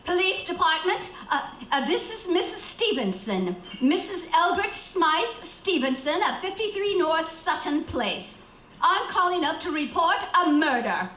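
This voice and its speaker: A woman's voice: very high-pitched, sing-song, unhurried, pushed and bright, roomy sound, slight background noise, full character voice, rough recording. A woman playing a nervous, imperious bedridden wife in a radio thriller, agitated, stammering and insistent. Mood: flustered, impatient. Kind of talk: theatrical radio thriller acting.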